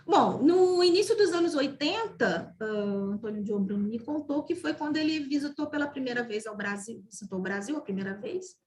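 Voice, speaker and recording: A woman's voice: high-pitched, animated, normal speed, few pauses, darkish tone. A woman from Brazil giving an online mathematics lecture over a video call, talking with many fillers, repeated words and restarts. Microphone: video call microphone.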